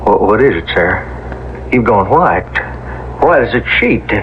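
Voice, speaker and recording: A man's voice: on the low side, very animated, unhurried. An actor playing a concerned, folksy western ranch man in a 1970s radio drama. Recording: muffled old radio broadcast, faint noise.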